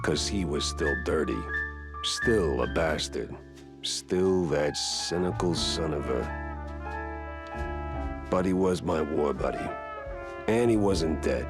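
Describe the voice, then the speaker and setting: Casting: weary noir mouse gumshoe, American, bottom-register gruff voice, dry and streetwise, cartoon detective game. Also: male voice, a bass voice, expressive, takes it slowly, pauses often, over music, full character voice. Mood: brooding. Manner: wry, hard-boiled, slangy. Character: world-weary cynical mouse private investigator, war veteran. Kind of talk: 1930s noir detective acting.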